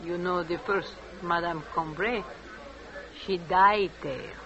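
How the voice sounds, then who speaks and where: A woman's voice: on the low side, slow pace, noisy background. Nosy gossiping village shopkeeper woman. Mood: disapproving. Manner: chatty, insinuating, blunt. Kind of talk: 1940s radio drama acting. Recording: dull old radio recording.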